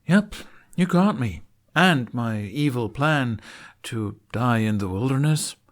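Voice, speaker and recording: A man's voice: mid-range voice, animated, slow pace. A man voicing a flippant, defiant young man with a sarcastic manner in an audiobook.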